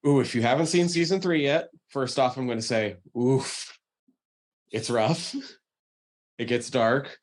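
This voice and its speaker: A man's voice: mid-range voice, unhurried. A man hosting a podcast, making recommendations in a casual, emphatic way with enthusiastic swearing. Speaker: podcast host. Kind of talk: casual chat, giving recommendations.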